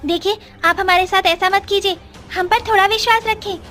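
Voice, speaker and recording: Earnest young nomad ghost girl, played by a woman, piercingly high and bright, for story audio over faint music. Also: steady tone, normal speed. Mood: pleading, earnest. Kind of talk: dramatised children's story. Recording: music under the voice.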